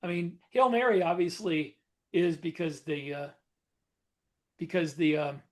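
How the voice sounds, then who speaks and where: A man's voice: fairly high pitch, steady tone, measured pace, darkish tone. A man co-hosting a podcast, opinionated and rambling, often searching for words. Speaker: podcast co-host. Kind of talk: casual podcast discussion.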